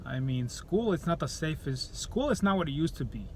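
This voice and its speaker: A man's voice: fairly high pitch, sing-song, moderate pace, darkish tone, a little hiss or hum. Blunt, profane man ranting to camera. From El Salvador. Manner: blunt, profane, with frequent restarts. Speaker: YouTuber. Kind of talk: opinionated vlog monologue.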